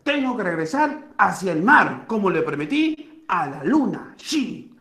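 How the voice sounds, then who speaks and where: A woman's voice: medium pitch, big pitch swings, unhurried, fairly bright tone, roomy sound. A woman playing an eager, reassuring male divine ruler in a myth told aloud, speaking in simple declarations.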